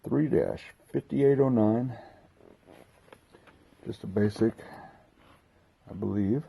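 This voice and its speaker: A man hosting a casual unboxing video, talking haltingly as he thinks aloud while handling gear. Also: on the low side, creaky, warm tone, a little hiss or hum, low-quality recording. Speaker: host of a hobby unboxing video. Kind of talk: casual unscripted product walkthrough.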